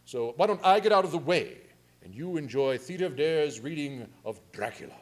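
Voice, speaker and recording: A man's voice: on the high side, animated, normal speed. A man hosting a community theatre show, giving a jokey, chatty introduction and announcements. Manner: jokey, chatty, breaks into chuckles. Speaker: theatre company host.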